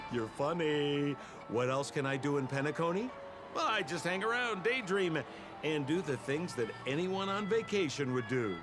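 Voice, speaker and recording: A theatrical game con man with a raised, swooping voice. He takes his time over his words, flattering and playful like an oily salesman. Music plays behind him. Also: a little high, a little slow. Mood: sly, teasing. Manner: flamboyant, ingratiating, salesman-like. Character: sly theatrical swindler, smooth-talking young man, playful and oily. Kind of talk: video game voice acting.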